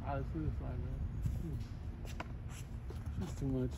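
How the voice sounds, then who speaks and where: A man's voice: medium pitch, steady tone, takes it slowly. A man chatting in informal slang as the companion of someone filming outside. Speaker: cameraman's companion. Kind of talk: casual chat. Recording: loud outdoor traffic and vehicle noise.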